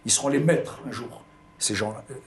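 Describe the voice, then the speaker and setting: A man's voice: mid-range voice, fairly quick. An older man from Algeria with a pied-noir accent, telling his memories in an interview, hesitating and repeating words. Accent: pied-noir French. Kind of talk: recounting personal memories.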